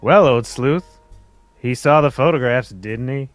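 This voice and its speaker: A man playing a pompous, excitable gentleman sidekick in an old-time radio detective play, with theatrical delivery. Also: medium pitch, a little slow, no room sound, soft background music, lightly acted. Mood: musing.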